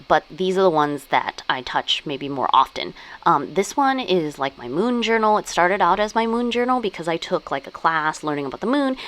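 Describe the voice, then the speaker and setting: A woman's voice: fairly low pitch, a bit bright, low-quality recording. A young woman chatting casually about her planners and journals, with frequent "like" and "um" fillers. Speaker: stationery vlogger. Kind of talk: casual show-and-tell chat.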